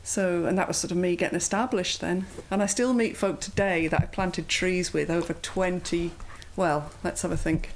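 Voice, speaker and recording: A woman's voice: fairly low pitch, fairly even intonation, moderate pace, hardly any pauses, a little hiss or hum, low-quality recording. Woman with a mild Yorkshire accent. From Yorkshire, England. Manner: chatty, lots of ums and uhs. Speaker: guest, yoga teacher. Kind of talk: casual interview chat.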